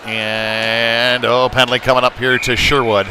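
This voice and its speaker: A man's voice: mid-range voice, little pitch movement, unhurried, music in the background. A man calling live play-by-play of a youth hockey game in a folksy, conversational way, with analytical asides. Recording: noisy rink ambience behind voice.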